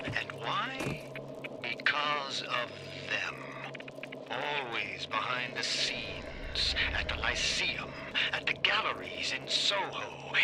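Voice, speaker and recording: A male actor playing a flamboyant, unhinged, sadistic artist in a video game, with a theatrical, grandiose delivery. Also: mid-range voice. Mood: bitter, conspiratorial. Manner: theatrical, grandiose, swinging from hushed whispers to outbursts. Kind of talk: dramatic video game monologue. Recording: loud music and noisy ambience underneath.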